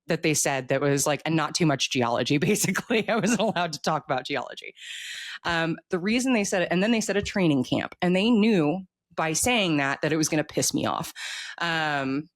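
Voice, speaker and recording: American woman in her 40s, low voice, dry close sound, chatting like an author on a podcast. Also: expressive, moderate pace, no echo. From United States. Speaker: author guest, military veteran. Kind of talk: casual interview chat.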